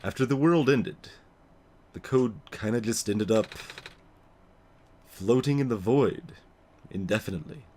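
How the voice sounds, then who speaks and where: A man voicing an earnest, apologetic young game character who explains things gravely in a measured, hesitant way. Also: on the low side, a little mellow, slight background noise, lightly acted. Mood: grave, explanatory. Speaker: let's play voice-over reader. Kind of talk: acted reading of game dialogue.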